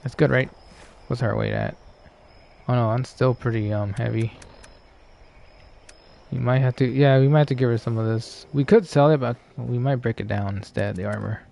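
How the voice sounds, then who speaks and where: A man giving low-key, casual live commentary as he streams a game, musing to himself. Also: sing-song, moderate pace, gravelly, warm tone, a little hiss or hum.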